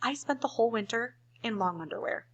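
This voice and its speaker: A woman voicing an aggrieved storybook bear, whining and complaining with loud emphasis. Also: fairly high pitch, animated, a little fast, slightly rough.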